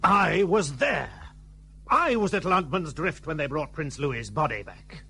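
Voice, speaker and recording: A man's voice: a little high, animated, a little slow, pushed and bright, soft background music, exaggerated voice. An actor playing a scornful, accusing army man in a period radio drama, cutting in manner, on a faintly noisy recording. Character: scornful, accusing British army man confronting a disgraced officer.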